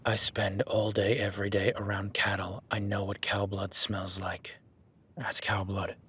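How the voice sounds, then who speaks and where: A man voicing a rugged, protective cowboy rancher in a listener-addressed audio roleplay, talking in a low-key, calm, instructive way that often drops to a hush. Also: measured pace, husky, full character voice. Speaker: ranch hand tracking a beast.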